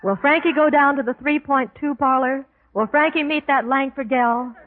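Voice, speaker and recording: A woman playing a high-voiced jealous sweetheart. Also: close to an everyday voice. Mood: determined. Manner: mock-tragic, drawn-out delivery. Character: jealous, wronged sweetheart in comic melodrama. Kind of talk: 1940s radio comedy acting. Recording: tinny old radio recording over music.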